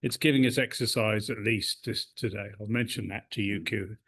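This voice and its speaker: A man's voice: lowish voice, fairly even intonation, normal speed, husky. A man, chairing a club meeting in a meeting room. He talks hesitantly, with many uhs and restarts. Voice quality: loud voice.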